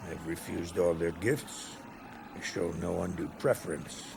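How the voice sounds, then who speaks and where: A man's voice: low-pitched, unhurried, creaky, noisy background, heavily acted. A man playing a genial but worried older Greek king and father in a game, speaking in a stately, courtly way. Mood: welcoming, troubled. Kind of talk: dramatic game cutscene acting.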